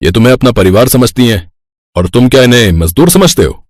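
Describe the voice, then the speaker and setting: A man's voice: mid-range voice, lively intonation, brisk, no room sound, clean studio sound, close to an everyday voice. A man playing a stern, fair-minded boss in a family cartoon, authoritative and scolding. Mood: angry, scolding.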